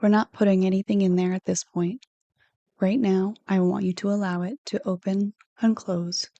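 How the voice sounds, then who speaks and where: A woman leads a guided meditation as a counselor would, with a mellow, relaxed tone. Her delivery is soothing and unhurried, staying on a level pitch as she gives gentle instructions. The sound is dry and close, free of room echo. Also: lo-fi sound. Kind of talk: guided meditation, read from a script.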